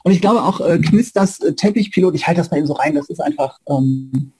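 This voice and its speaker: A man in his forties hosting a casual interview, haltingly searching for his words. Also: on the high side, dark tone, low-quality recording. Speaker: interview host, academic. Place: indoors, room with bookshelves.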